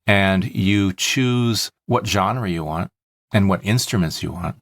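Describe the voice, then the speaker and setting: A man's voice: sits low, takes it slowly, a bit bright, no room sound, clean studio sound. A man hosting a podcast, explaining things in a casual, methodical way. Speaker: podcast host.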